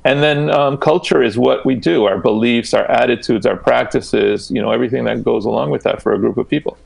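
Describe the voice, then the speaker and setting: A man's voice: medium pitch, brisk, vocal fry, darkish tone, slightly rough, poor audio. A man speaking as a guest researcher in an interview, explaining concepts conversationally and often checking in with the listener.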